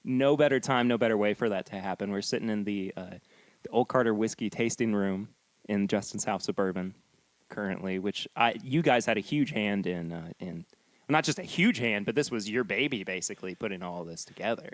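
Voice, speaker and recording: A man's voice: on the low side, fairly quick, no room sound. A man hosting a podcast, chatty and enthusiastic, often restarting his sentences. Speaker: podcast host. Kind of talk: casual podcast interview. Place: whiskey tasting room.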